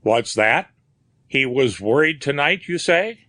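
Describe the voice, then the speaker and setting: A man voicing a worried police officer who questions people briskly. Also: medium pitch, animated, sharp tone, no room sound, voice pushed up.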